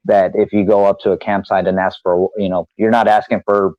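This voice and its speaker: A man's voice: lowish voice, level tone, fairly quick, dry recording, muffled recording. A man co-hosting an advice show, chatting casually in a rambling, hesitant way and repeating himself. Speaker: advice call-in show co-host. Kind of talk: casual chat.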